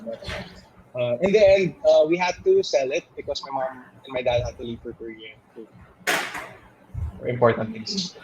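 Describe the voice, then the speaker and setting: A man's voice: medium pitch, moderate pace, darkish tone, lo-fi sound, a little hiss or hum. Young man with a lilt. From Philippines. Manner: switches between English and Tagalog in loose, rambling sentences. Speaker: guest. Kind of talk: casual interview chat.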